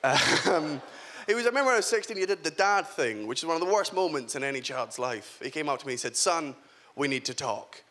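Bright-voiced young comedian. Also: male voice, on the high side, lively intonation, fast talker, few pauses. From Scotland. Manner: dry, self-deprecating punchlines. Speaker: stand-up comedian. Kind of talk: stand-up comedy set. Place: comedy stage before a live audience.

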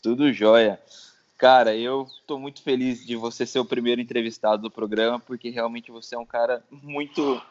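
Chatty podcast host, laughing easily. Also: male voice, mid-range voice, steady tone, moderate pace, a little mellow. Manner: enthusiastic, laughs often, stumbles over words. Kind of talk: casual interview chat. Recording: dull lo-fi sound with faint noise.

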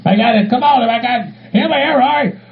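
A man's voice: extremely high pitch, a little fast. A man playing an agitated, exasperated hillbilly with a Southern US accent, ranting repetitively in a comedy skit. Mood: bewildered. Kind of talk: improvised comedy skit in character voices. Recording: muffled lo-fi recording with faint music.

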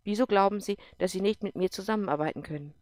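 A woman reading aloud and voicing a strict, commanding female general who talks in clipped, forceful sentences. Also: mid-range voice, fast talker, dry recording, studio quality, natural voice. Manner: clipped, forceful, brooks no argument. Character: stern, commanding female general, middle-aged.

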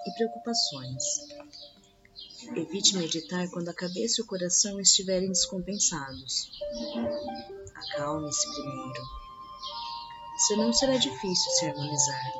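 Low-voiced Brazilian woman guiding meditation. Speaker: meditation guide. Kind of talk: guided meditation narration, scripted. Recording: dull lo-fi audio under loud music. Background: loud music with birdsong.

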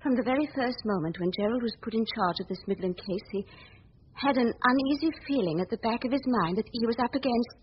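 Female actor, young reporter, insistent and earnest, higher register, muffled 1940s radio recording. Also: fairly quick, a little hiss or hum, close to an everyday voice. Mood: grave, earnest. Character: determined, earnest young lady newspaper reporter. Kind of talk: 1940s radio drama acting. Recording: husky, dull old radio recording.

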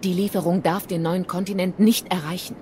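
A woman's voice: fairly low pitch, fairly even intonation, fairly quick, a little hiss or hum, lightly acted. An actress playing a proud, determined Indian warrior queen in a dramatic audio play, composed, commanding and dry. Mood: commanding, wry. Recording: voice under loud music.

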